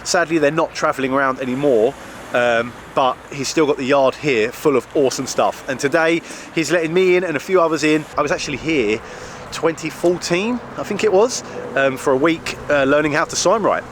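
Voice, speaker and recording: A man with a slightly croaky voice presenting a casual vlog as its host. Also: fairly high pitch, brisk. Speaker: video host. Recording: outdoor ambience, clear background noise.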